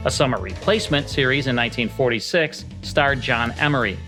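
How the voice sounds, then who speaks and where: A man's voice: mid-range voice, a little fast. An American man reading scripted narration as the host of a podcast. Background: music.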